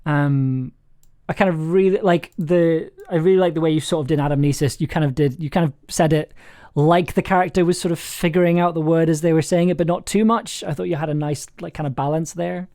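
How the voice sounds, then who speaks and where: A man's voice: on the high side, fairly even intonation, fairly quick, warm tone. A man hosting a casual script session, giving encouraging notes while searching for his words. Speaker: host and scriptwriter.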